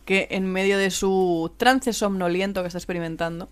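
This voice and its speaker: A woman's voice: medium pitch, steady tone, normal speed, clean tone. A woman with an accent from Spain, chatting casually and playfully in slangy, informal speech as a podcast co-host. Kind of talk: casual chat.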